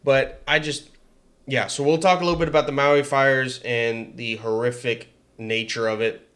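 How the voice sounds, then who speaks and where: A man co-hosting a casual political podcast, talking in energetic bursts with frequent restarts. He has a higher voice than his co-host. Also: normal speed, clean tone. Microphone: headset mic with headphones.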